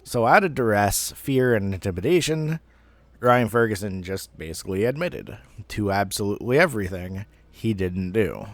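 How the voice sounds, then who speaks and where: A man in his thirties telling a personal story casually, in a rambling, halting way with some swearing. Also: fairly low pitch, lively intonation, no echo. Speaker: amateur storyteller.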